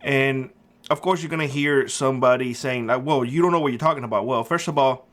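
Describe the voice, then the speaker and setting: A man's voice: mid-range voice, fairly quick. A middle-aged man from Cuba hosting a prepper channel, talking earnestly and emphatically in a casual monologue with frequent fillers. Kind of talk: casual opinion monologue to camera.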